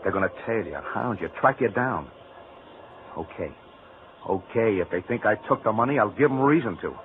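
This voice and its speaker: An actor playing a troubled, defiant ex-convict with curt, agitated street talk in a 1940s radio drama. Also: male voice, lowish voice, lively intonation, moderate pace, phone-quality sound, lightly acted. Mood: angry, scheming. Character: bitter, troubled male ex-convict, defiant and brooding. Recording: thin, slightly noisy old radio recording.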